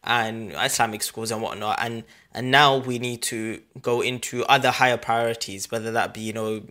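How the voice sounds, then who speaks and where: Male host with a bright, sharp tone, earnest and reflective. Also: mid-range voice, steady tone, normal speed. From England. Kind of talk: podcast conversation.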